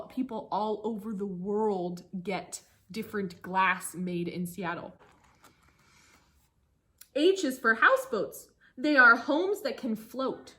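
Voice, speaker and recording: Slow, very animated woman reading aloud. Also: a little high. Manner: singsong storybook phrasing, pausing to engage listeners. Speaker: teacher. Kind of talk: picture-book read-aloud for children with short asides.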